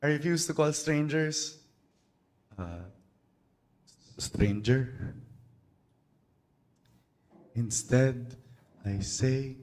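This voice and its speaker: Slow, deliberate Filipino man reciting poetry. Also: mid-range voice, a little mellow. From Philippines. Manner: slow, deliberate delivery with pauses. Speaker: spoken word poet. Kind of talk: spoken word poetry performance. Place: small cafe, live event.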